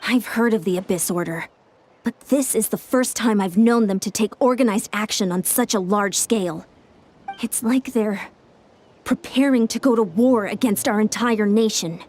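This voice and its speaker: A woman's voice: medium pitch, moderate pace, darkish tone, natural voice. A woman playing an earnest, practical young female warrior in a video game, speaking directly. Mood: grave, briefing. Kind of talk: video game dialogue acting.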